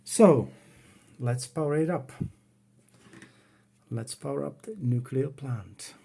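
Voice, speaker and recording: Brief: Czech man speaking English, mellow tone, plain easygoing hobbyist, home workroom, keyboard clicks behind. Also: mid-range voice, vocal fry, breathy edge. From Czech Republic. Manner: unhurried, plain, talks while working the computer. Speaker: retro computing hobbyist. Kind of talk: casual home demo walkthrough.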